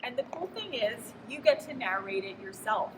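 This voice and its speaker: A woman's voice: a little high, expressive, moderate pace. A woman, a children's librarian, recommending picture books and addressing young readers directly. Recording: reverberant hall, clear background noise.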